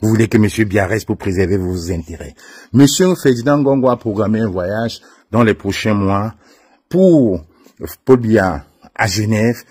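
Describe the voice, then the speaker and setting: A man's voice: fairly low pitch, measured pace, a little mellow, slightly rough, dry recording. A man with a Cameroonian French accent delivering an emphatic, accusatory political monologue, addressing opponents directly as an activist.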